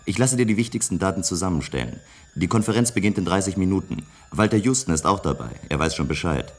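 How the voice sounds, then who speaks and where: An actor playing a wistful, stubborn male inventor who narrates a radio play in a measured, reflective way. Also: sits low, animated. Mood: earnest, resolute. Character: male inventor, wistful, stubborn, recounting his bold project. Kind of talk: German radio play acting.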